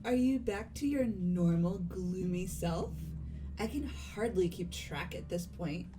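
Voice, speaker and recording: A woman's voice: lowish voice, expressive, unhurried, music in the background. A woman playing a breezy, curious young female student with casual, eager delivery in an amateur game dub. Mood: curious, surprised. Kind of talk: amateur fully voiced game playthrough.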